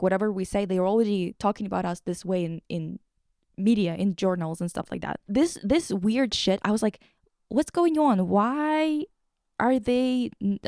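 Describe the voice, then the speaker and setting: Female podcast host, soft-edged mellow tone, close dry sound. Casual, irreverent, swears freely. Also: mid-range voice, no echo. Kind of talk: casual chat, recapping and reading quotes aloud.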